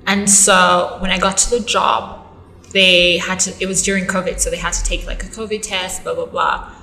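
Bright-voiced Ugandan woman, animated. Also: on the low side, normal speed, rough recording. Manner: animated storytelling, fillers and self-corrections. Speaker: guest, model and actress. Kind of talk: casual interview chat.

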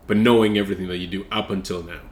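Lowish-voiced man hosting a casual interview. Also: steady tone, rapid-fire. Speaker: podcast interviewer. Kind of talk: casual question-and-answer chat.

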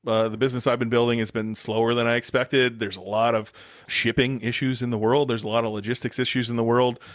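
Male American podcast co-host. Quick, irreverent, teasing delivery, very dry recording. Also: mid-range voice, little pitch movement, no room sound. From United States, Wisconsin. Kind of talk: casual bantering podcast talk.

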